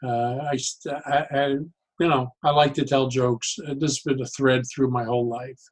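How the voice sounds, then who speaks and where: Male interview guest, sixties or older, medium pitch, casual and halting, thinking as he goes. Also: level tone. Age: 60s or older. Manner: halting, reflective, often pausing mid-sentence. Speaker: podcast guest, psychologist and humor speaker.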